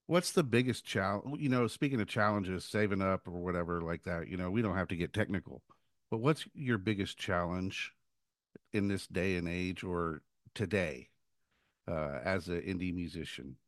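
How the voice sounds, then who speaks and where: A man's voice: sits low, normal speed, no echo, clean studio sound. Rambling man hosting an interview. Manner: rambling, stumbling questions with many restarts. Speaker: podcast host; works at a guitar shop. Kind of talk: casual interview chat.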